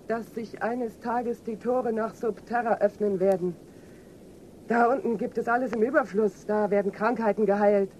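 A woman's voice: medium pitch, a little mellow, slight background noise, lightly acted, low-quality recording. An actress playing a weary, dreaming surface-dweller woman, wistful and pleading, in a German science fiction radio drama.

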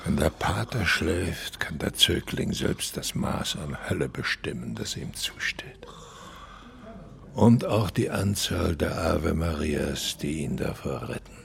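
A man's voice: extremely low pitch, expressive, takes it slowly, creaky, heavily acted. An actor playing a pompous former opera star in his eighties, grandiose and bitter, declaiming theatrically in an audio drama. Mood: brooding. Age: 80s. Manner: theatrical, declamatory, self-aggrandizing. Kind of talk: German audio drama dialogue and monologue.

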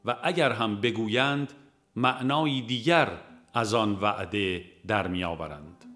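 A man reading a religious audiobook in a measured, formal and deliberate scripted narration. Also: mid-range voice, fairly bright tone. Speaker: audiobook reader.

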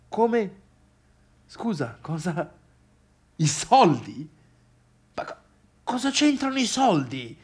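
A man's voice: high-pitched, exaggerated voice. An actor playing an awkward, needy, wounded man in a theatrical monologue, with a Tuscan accent and hesitant, repetitive phrasing. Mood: confused, agitated. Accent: Tuscan Italian. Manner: hesitant, stammering repetitions and questions. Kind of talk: theatrical monologue, halting one-sided dialogue.

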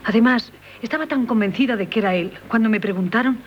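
An actress playing a distressed, dignified wife defending herself in 1970s radio theatre. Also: female voice, fairly high pitch, fast, lightly acted. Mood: defensive. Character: distressed, dignified wife accused of bigamy, female. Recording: dull, noisy 1970s radio recording.

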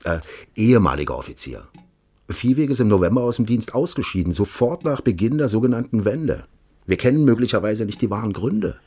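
An actor playing a courteous, insistent East German official in a radio drama, with a German accent and insinuating manner. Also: male voice, fairly low pitch, big pitch swings, warm tone, husky, full character voice. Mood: insistent, evasive. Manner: courteous, insistent, deliberate phrasing with repeated direct address. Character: smooth, insinuating East German official offering a sinister bargain.

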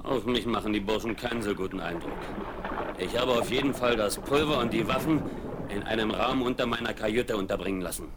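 A man's voice: lowish voice, a little fast, lightly acted. An actor playing a stern, dutiful ship captain who voices his doubts in a blunt, formal way. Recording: hissy 1970s vinyl radio play.

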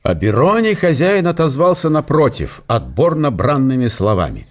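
A man narrating a literary radio drama in an expressive, wry storytelling manner. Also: fairly high pitch, moderate pace.

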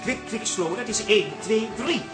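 An actor playing a camp, gushing ballroom dance teacher in a radio comedy. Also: male voice, high-pitched, normal speed, music in the background, natural voice.

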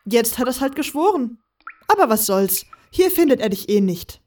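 A woman's voice: on the high side, very animated, moderate pace, a little mellow, distant mic. A woman playing a teasing, confident, carefree young woman who sneaks about, in a fan audio drama. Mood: teasing, amused. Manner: breezy, teasing.

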